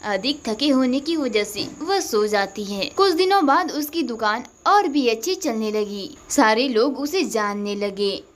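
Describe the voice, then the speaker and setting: A woman's voice: on the high side, animated, unhurried, hardly any pauses. A woman narrating a scripted cartoon story as a storyteller.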